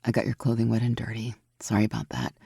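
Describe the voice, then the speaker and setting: Dark low-voiced warrior woman, calm, protective, played by a woman, storybook. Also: a little fast, gravelly, breathy edge, dry recording, clean studio sound, exaggerated voice. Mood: soft.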